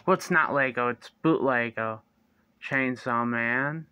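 A young man casually reviewing a toy figure in his bedroom, talking slowly and haltingly with fillers and restarts, close to a phone or webcam. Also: medium pitch, sharp tone, smooth, no echo. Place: small bedroom indoors. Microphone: phone or webcam close to the face.

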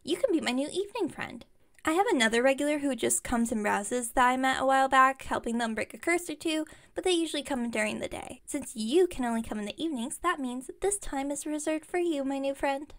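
A woman's voice: high-pitched, lively intonation, fairly quick, fairly bright tone. A woman playing an enthusiastic, slightly incompetent witch shopkeeper in an audio roleplay, rambling on in a friendly, bubbly way. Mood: upbeat, friendly. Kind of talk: ASMR roleplay audio, one-sided chat with listener.

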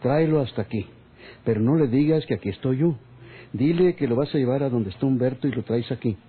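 Stern, husky patriarch. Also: male voice, mid-range voice. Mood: stern, controlled. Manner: authoritative, measured. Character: stern, commanding master of a Mexican hacienda, a father. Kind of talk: old radionovela acting. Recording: tinny, phone-like old radio drama recording.

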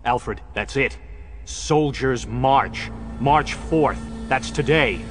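An actor playing a stern, brooding masked crime fighter in a children's audio drama, deliberate and dry. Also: male voice, medium pitch. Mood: thinking hard.

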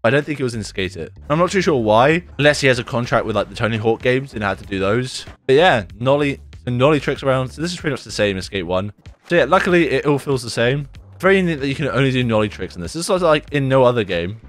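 A young man talks through a video game from his bedroom desk mic, loose and rambling, peppering his talk with "like" and "dude". His voice sits a little low and rough, left over from a recent sore throat. Faint game music plays underneath. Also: expressive, quick pace. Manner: chatty, rambling, says "like" and "dude" a lot. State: deeper voice after a sore throat. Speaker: gaming YouTuber. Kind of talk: casual gameplay commentary. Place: bedroom at home, indoors.

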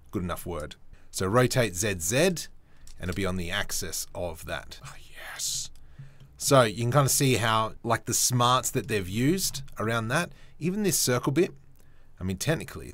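Chatty low-voiced man thinking aloud. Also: fairly bright tone. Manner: chatty, self-mocking, thinks aloud while working. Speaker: 3D modeling tutorial host. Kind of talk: casual screen-recorded software tutorial.

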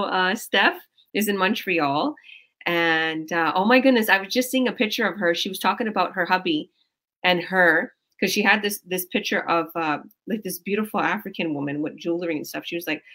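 A woman's voice: on the low side, normal speed, a bit bright. A woman, a coach hosting a team video call in a small room at home, talking in a rambling, effusive way with many restarts. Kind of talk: casual motivational chat. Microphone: video call webcam.

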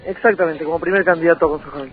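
A man's voice: fairly high pitch, very fast, narrow, tinny audio, soft background music. A man in his thirties with a Rioplatense Argentine accent, answering conversationally as a political candidate in a radio interview, often repeating words as he goes.

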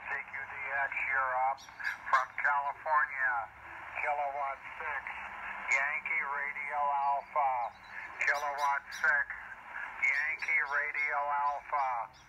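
A man's voice: medium pitch, flat delivery, slow. A man making terse, repetitive ham radio calls with phonetic call signs over shortwave. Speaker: amateur radio operator. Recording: noisy, muffled shortwave radio reception. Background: heavy radio static.

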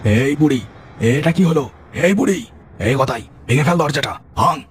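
A man voicing a gruff, bossy thief in a cartoon ghost story, with a brusque, commanding manner. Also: fairly high pitch, expressive, normal speed, slight background noise, pitched above the performer's own voice, natural voice. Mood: alarmed, commanding. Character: gruff, bossy male thief, the leader of a pair. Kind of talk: cartoon horror story acting.

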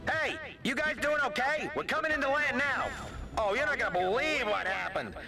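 A man's voice: very high voice, normal speed, creaky, music in the background. A man playing a chatty, upbeat young pilot hero with a breezy delivery in a cartoon video game. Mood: excited.

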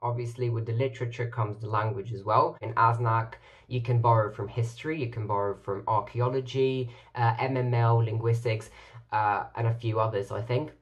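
A man's voice: mid-range voice, fairly even intonation, moderate pace, highs cut off. A young man from Ireland, a native Irish speaker, giving casual advice to camera as a student in his bedroom. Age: young adult. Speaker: student society officer. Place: bedroom with bookshelves, indoors.

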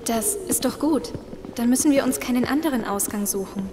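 A woman's voice: fairly high pitch, normal speed, close to an everyday voice. An actress playing a cautious young female survivor in an audio drama. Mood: practical. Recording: reverberant hall, clear background noise.

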